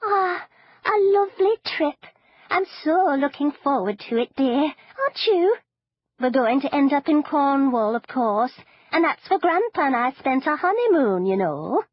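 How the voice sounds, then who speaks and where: A woman's voice: high-pitched, very animated, unhurried, no echo, dull audio, pitched above the performer's own voice. A woman voicing an elderly grandmother who is chatty, cheerful and fond of disasters, with a fussy, sing-song way of talking. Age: older.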